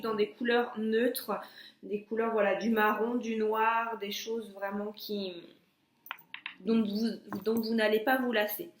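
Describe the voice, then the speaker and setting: A woman's voice: mid-range voice, roomy sound. A young woman vlogging casually with a stuffy, nasal voice from a cold, talking in a chatty way with many hesitations and restarts. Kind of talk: casual chat.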